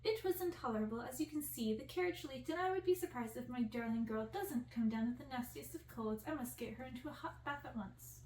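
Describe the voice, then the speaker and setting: A woman acts out an ancient, grumbling firecracker of a nanny from a storybook. She is brusque, indignant and bossy in a fairly high voice, heard in a very echoey room. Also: fairly quick, hardly any pauses, a little mellow, lightly acted. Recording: distant roomy recording with faint hiss.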